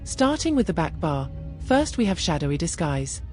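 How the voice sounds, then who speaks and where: Woman doing a game build guide voiceover, bit low voice but really animated, music playing behind her. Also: moderate pace. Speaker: gaming video creator and narrator.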